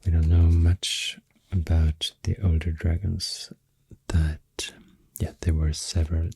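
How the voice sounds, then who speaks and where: A man with a Swedish accent speaking in a deep, soft, half-whispered ASMR ramble, halting and correcting himself, close to the microphone. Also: husky, no room sound. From Sweden. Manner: hesitant, slow, halting, self-correcting. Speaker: ASMR artist.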